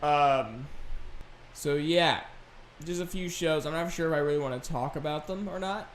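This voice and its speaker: A man hosting a solo podcast, chatting casually and unscripted in a rambling, halting way. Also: a little high, slight background noise. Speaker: podcast host and gaming video creator.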